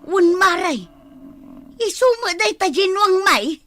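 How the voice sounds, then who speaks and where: A woman's voice: very high-pitched, lively intonation, slow pace, a bit bright, exaggerated voice. A woman with an Ilocano accent playing a bossy farmer's wife who keeps watch on her husband in an audio drama. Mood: puzzled.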